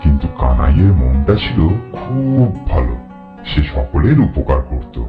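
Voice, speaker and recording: A man's voice: very deep voice, very animated, measured pace, phone-quality sound. A man narrating a fairy tale from a script as the storyteller. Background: plucked guitar music.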